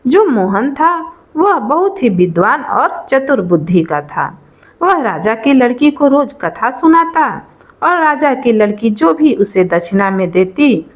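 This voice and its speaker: A woman narrating a devotional folk tale in an informal oral storytelling style, explaining and rephrasing as she goes. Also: high voice.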